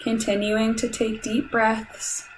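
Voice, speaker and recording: A woman leading a guided meditation in a calm, soothing, measured way, leaving long pauses between instructions. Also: a little high, slight background noise, rough recording.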